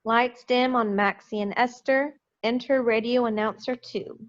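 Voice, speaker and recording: A woman's voice: on the high side, steady tone, unhurried, dry recording. A woman reading scripted stage directions for an amateur play reading over a video call. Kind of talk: scripted narration at table read. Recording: muffled dry video call.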